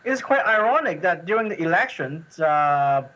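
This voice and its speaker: A man giving expert analysis in an interview, speaking haltingly with many filler sounds. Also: sits high, very animated, bright, forceful tone. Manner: hesitant, halting delivery with frequent filler sounds. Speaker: academic guest expert. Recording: dull, muffled lo-fi audio.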